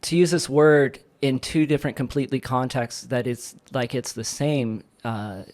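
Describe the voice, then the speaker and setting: A man's voice: mid-range voice, fairly even intonation, normal speed, darkish tone. Guy guesting on a podcast, medium voice, earnest, keeps hunting for words. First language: English. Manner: earnest, searching for words, many restarts. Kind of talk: casual chat.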